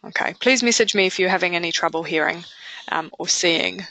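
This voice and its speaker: A woman with a New Zealand accent presenting a product webinar in a conversational way, often pausing with fillers. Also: fairly low pitch, bright, forceful tone, poor audio. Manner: conversational, with frequent filler pauses.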